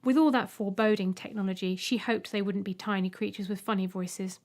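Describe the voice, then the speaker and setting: A woman reading a scripted short story as an audiobook narrator, with expressive storytelling. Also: mid-range voice, brisk.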